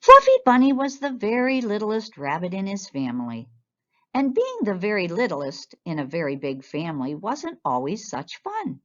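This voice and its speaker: A woman reads a picture book to children with a lively, expressive swing in her medium-pitched voice. The recording is a little dull and lo-fi. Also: moderate pace. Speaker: children's storyteller. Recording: dull, lo-fi sound.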